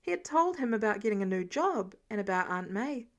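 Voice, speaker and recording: A woman's voice: medium pitch, very animated, brisk. A woman reading scripted fan fiction narration as an audiobook-style storyteller.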